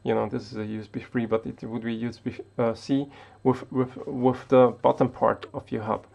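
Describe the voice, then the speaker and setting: Austrian guy, not english, gravelly low-ish voice, stumbles and restarts a lot talking to camera. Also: level tone, normal speed, darkish tone. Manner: hesitant, many restarts and fillers. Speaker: tech YouTuber. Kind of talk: unscripted talk to camera.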